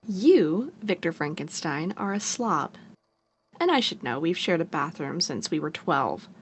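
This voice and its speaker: An actress playing a teasing, caring young sister in a naturalistic audio drama. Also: female voice, lowish voice, sing-song, a little slow, slight background noise. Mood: playful teasing. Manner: playful, teasing, sarcastic.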